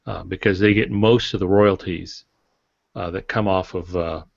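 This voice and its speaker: A man's voice: low voice, fairly even intonation, normal speed, gravelly. A man speaking as an author on a podcast, explaining things frankly in a casual, colloquial way. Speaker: author, podcast guest or panelist. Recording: dull, roomy podcast audio.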